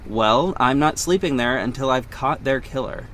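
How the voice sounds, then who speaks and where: A man's voice: medium pitch, moderate pace, a bit bright, a little hiss or hum, natural voice. A man playing a wealthy orphaned young hero in an audio drama, guarded and earnest, with dry sarcasm and a defensive edge. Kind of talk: first-person audio drama narration and dialogue.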